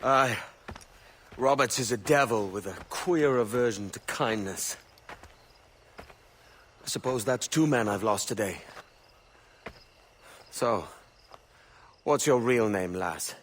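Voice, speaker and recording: An actor voicing a brash, easygoing young pirate captain in a video game cutscene. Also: male voice, mid-range voice, slow, long pauses, a bit bright, slight background noise. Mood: wry.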